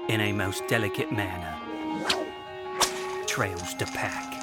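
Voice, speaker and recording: Male audio drama narrator, lower register, florid dramatic storytelling, prominent music bed. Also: steady tone, takes it slowly. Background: loud music.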